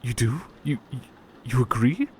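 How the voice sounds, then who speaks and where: A man playing a devoted, humble butler in an ASMR roleplay, speaking softly and tenderly with halting, repeated words. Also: medium pitch, animated, a little hiss or hum, close to an everyday voice. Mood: earnest, tender. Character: devoted, gentle, humble male butler sweetheart. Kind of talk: ASMR roleplay, personal attention.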